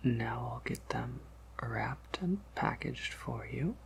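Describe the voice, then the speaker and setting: Male performer as courteous vampire apothecary keeper, ASMR roleplay: unhurried, heavily creaky whisper, gentle, formal, quietly amused. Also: medium pitch, animated, slight background noise, full character voice. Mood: politely coaxing. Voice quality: breathy whisper with gravelly creak.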